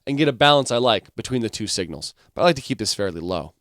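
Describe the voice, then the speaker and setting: A man with a mid-pitched voice explains music software like an instructor. His delivery is informal and explanatory, working through the steps one by one. The sound is dry, with very little room in it. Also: lively intonation, quick pace, no echo. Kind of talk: software tutorial, explaining step by step.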